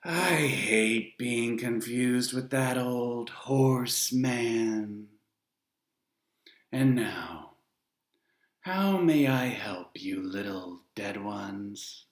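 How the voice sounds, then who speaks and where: A man voicing a suave, cold male ferryman of the dead who speaks slowly and condescendingly with a foreign lilt. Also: mid-range voice, lively intonation, roomy sound, voice pushed up. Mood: dry.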